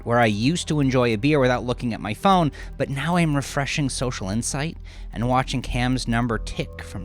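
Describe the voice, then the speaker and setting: Brooding male horror narrator over music. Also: medium pitch. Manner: confessional, brooding, often hushed to a near-whisper. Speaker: author and narrator of horror story. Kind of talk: first-person dramatic horror narration.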